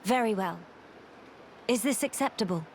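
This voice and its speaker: A woman's voice: mid-range voice, animated, a little hiss or hum, natural voice. An actress playing a composed, formal young noblewoman in a video game cutscene. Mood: composed, courteous. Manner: formal, measured, stately diction.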